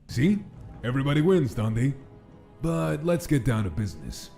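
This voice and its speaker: A man acts out a scheming monster gangster for a comic dub. The voice is mellow and animated, smooth with false courtesy, over faint music. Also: mid-range voice, normal speed. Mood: smug, falsely polite. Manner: smooth-talking, falsely polite. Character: scheming skeleton gangster monster feigning friendliness. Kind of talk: comic dub character acting.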